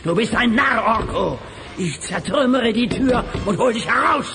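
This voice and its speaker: An actor playing a menacing, gloating axe-wielding villain in a radio play, threatening slowly over music. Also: male voice, very high-pitched, expressive, vocal fry, heavily acted. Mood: gloating menace. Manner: gloating, threatening, drawn-out delivery. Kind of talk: German radio play acting. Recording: old audio cassette drama with music.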